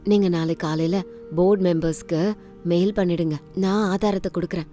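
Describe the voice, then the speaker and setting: A woman voicing a loyal, earnest female assistant who speaks in a reassuring, hushed manner in a story. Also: fairly low pitch, steady tone, moderate pace, soft background music, voice pushed down, lightly acted. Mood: earnest reassurance.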